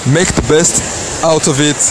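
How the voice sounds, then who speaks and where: A man's voice: a little high, steady tone, normal speed. A man in his thirties from Belgium, hosting a casual, motivational podcast outdoors on a beach, with plenty of ums and uhs. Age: 30s. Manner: motivational, filler-heavy with many ums and uhs. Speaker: podcast host. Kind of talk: casual solo podcast monologue. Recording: loud outdoor wave noise. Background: loud waves.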